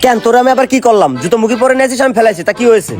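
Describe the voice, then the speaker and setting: A man's voice: extremely high pitch, very fast, darkish tone. A man playing a blunt, mocking young friend in a comedy skit, scolding. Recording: music clearly behind the voice.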